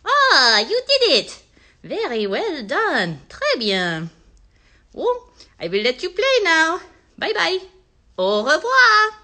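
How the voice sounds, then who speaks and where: A woman voicing a gentle puppet who patiently teaches a song in a slow, sing-song, way for children. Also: extremely high pitch, huge pitch swings, pitched above the performer's own voice, heavily acted. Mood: delighted. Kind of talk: children's puppet show performance.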